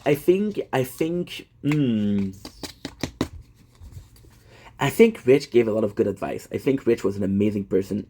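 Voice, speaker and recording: A man's voice: medium pitch, darkish tone, slight background noise. A man in his thirties, a gaming content creator, talking in a rambling, self-deprecating monologue full of fillers and restarts. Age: 30s.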